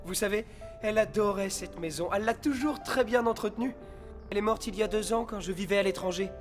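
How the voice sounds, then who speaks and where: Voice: mid-range voice, fast, soft background music, lightly acted. An actor voicing a jittery, dramatic man trapped in a cartoon haunted house. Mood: resigned, explaining. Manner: dramatic, alarmed. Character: jittery trapped man, great-nephew of the house's late owner. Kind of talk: French animated series dubbing.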